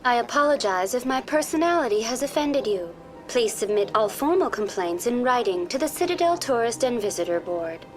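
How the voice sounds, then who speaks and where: Casting: actress playing courteous female AI tour guide for a sci-fi game, high pitch, formal scripted delivery, music underneath. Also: lively intonation, fairly quick, hardly any pauses, close to an everyday voice. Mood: polite, informative. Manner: formal, courteous, precisely worded. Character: polite female virtual intelligence tour guide, calm and programmed. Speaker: virtual guide. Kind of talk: scripted informational video game dialogue.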